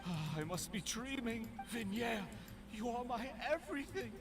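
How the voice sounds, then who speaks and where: Casting: obsessed middle-aged lover, anguished and pleading, highly animated pitch, game drama with music behind. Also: male voice, moderate pace. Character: desperate obsessed middle-aged man, pleading for lost love. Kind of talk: dramatic video game acting.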